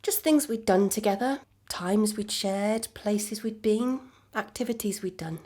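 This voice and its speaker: An actress playing a calm, resigned ex-girlfriend in a naturalistic audio drama, measured, dry and matter-of-fact. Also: medium pitch, close to an everyday voice. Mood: reminiscing.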